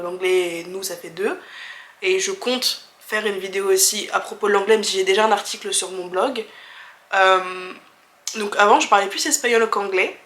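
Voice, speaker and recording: A woman's voice: mid-range voice, a bit bright, echoey room. A young woman from France in her twenties, answering viewer questions in a candid, chatty way with many fillers, restarts and easy laughs. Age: 20s. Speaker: travel vlogger.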